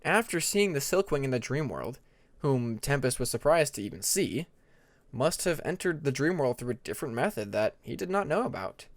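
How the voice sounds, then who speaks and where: A man's voice: medium pitch, sing-song, normal speed, no echo. A man reading a scripted story aloud as a dramatic audiobook narrator. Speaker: audiobook narrator of fan fiction.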